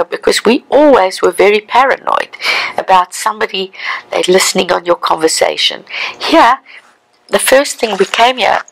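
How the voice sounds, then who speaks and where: A woman's voice: mid-range voice, creaky, a bit bright, rough recording. A woman in her sixties with a South African accent, looking back on her life in a reflective, conversational oral history interview. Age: 60s.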